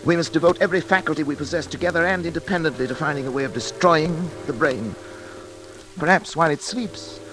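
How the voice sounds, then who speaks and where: An actor playing a tormented middle-aged scientist, brooding and dramatic with hesitant pauses, in 1940s radio style. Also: male voice, medium pitch, close to an everyday voice. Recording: old radio recording, dramatic music behind.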